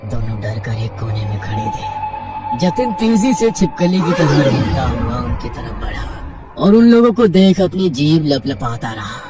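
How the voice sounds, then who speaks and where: A man's voice: mid-range voice, big pitch swings, unhurried, recorded from a distance, loud music behind the voice. A man narrating a scripted horror story as the storyteller.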